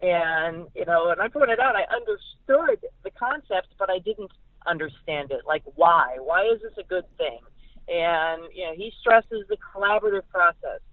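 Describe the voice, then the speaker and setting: A woman's voice: lowish voice, lively intonation, moderate pace, no echo. A woman with an American accent giving emphatic, opinionated sports analysis as a journalist guest, over a phone-like line. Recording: phone-like narrow-band radio sound.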